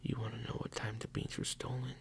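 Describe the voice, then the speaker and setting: A man whispering a playful mock police interrogation as an ASMR roleplay, slow and teasingly accusing. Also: mid-range voice, little pitch movement, vocal fry.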